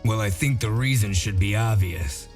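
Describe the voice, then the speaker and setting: Man acting a gruff male sleuth, deep register, dry wit, few words, comic dub drama. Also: normal speed, darkish tone, faint music behind, natural voice. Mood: sheepish, frank. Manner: laconic, sardonic. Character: gruff, wry male detective, world-weary.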